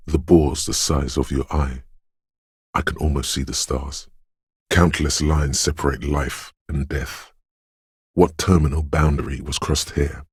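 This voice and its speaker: A man's voice: dry recording, studio quality, full character voice. A man voicing a dreamy, mystical inner voice of intuition in a video game, narrating in a brooding, theatrical and intimate way. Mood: haunted, urgent. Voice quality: very deep, gravelly, husky. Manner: brooding, theatrical, addressing the listener as you.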